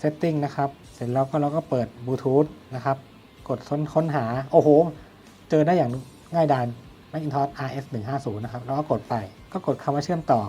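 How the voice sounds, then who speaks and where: A man's voice: medium pitch, warm tone. A man presents an audio product review in an explanatory way, posing questions and answering them himself. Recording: music playing behind the voice. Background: background music.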